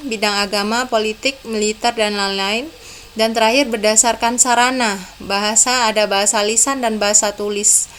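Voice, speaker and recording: A young woman teaches a recorded university class, moving calmly from one slide to the next and often checking in with a gentle "ya". Her voice sits at an ordinary middle pitch. A faint room hum runs underneath, as from a phone or laptop mic. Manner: explains in a calm, step-by-step way, often saying "ya". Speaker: lecturer. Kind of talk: recorded lecture over slides.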